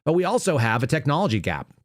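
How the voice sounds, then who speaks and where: A man's voice: a little high, very animated, fast, dry recording, clean studio sound. An American man giving opinionated, unscripted political commentary as a talk show host, blunt, conversational and emphatic.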